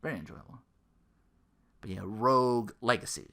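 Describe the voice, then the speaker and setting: Animated man hosting a casual gaming podcast. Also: medium pitch, moderate pace, gravelly. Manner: rambling, slangy, acting out imagined quotes. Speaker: podcast host.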